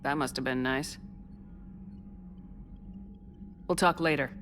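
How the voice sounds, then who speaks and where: An actress playing a tough, direct military commander in a video game, blunt and probing. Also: female voice, very deep voice, fairly even intonation, fairly quick, a bit bright, a little hiss or hum. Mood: serious.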